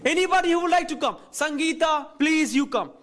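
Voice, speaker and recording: High-voiced Indian English teacher. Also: male voice, expressive, a bit bright. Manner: brisk, prompting, repeats points. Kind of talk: classroom debate moderation.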